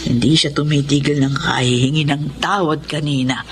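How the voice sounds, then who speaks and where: An actress playing a kindly, conciliatory middle-aged mother, speaking gently in a Tagalog radio drama. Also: sits low, steady tone, moderate pace. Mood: gentle, reassuring.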